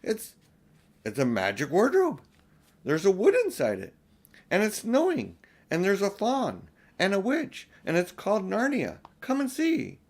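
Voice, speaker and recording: A man voicing an earnest, excitable little girl in a storybook, pleading and insisting with emphasis. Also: high voice, a little slow, voice pushed up, exaggerated voice. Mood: excited, eager.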